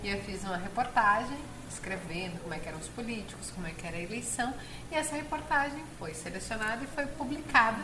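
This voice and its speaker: A woman's voice: mid-range voice, animated, quick pace. A woman with a southern Brazilian accent, telling personal stories as an interview guest, earnest and given to emphatic repetition. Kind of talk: personal storytelling in conversation. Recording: echoey room, clear background noise.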